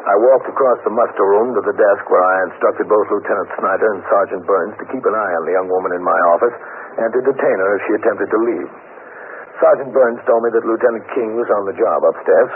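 An actor playing a methodical police captain who narrates in a measured, businesslike way, in a 1950s radio drama. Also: male voice, fairly low pitch, natural voice. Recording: tinny old radio broadcast with steady hiss.

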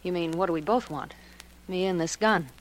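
An actress in a 1940s radio drama playing a jealous wife who makes cool, deliberate threats. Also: female voice, lowish voice, lightly acted. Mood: cold, mocking. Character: jealous, menacing wife holding a gun. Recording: noisy old 1940s radio recording.

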